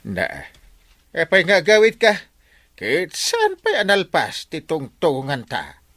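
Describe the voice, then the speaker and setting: An excitable village captain from an Ilocano radio comedy, with a bright, forceful voice that swings wildly up and down. He blusters and scolds in drawn-out exclamations, recorded dry and close. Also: a little high, no room sound, full character voice. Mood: scolding. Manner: exclamatory, full of drawn-out interjections. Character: excitable comic male village captain, blustering and easily swayed.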